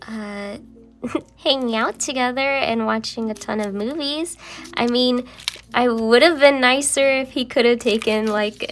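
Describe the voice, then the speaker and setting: A young woman chatting casually while she journals, rambling with many fillers and sometimes dropping into a soft half-whisper, her voice still a little phlegmy from a cold. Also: on the high side. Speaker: journaling vlogger.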